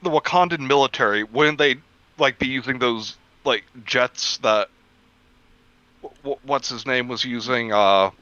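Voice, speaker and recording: Bright-voiced man on a dull lo-fi line. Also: medium pitch, a little slow, clean tone, dry recording. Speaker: guest co-host. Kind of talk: casual chat.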